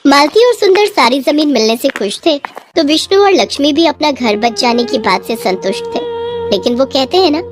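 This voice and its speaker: A woman's voice: high voice, big pitch swings, normal speed, a little hiss or hum. A woman narrator reading a scripted moral story.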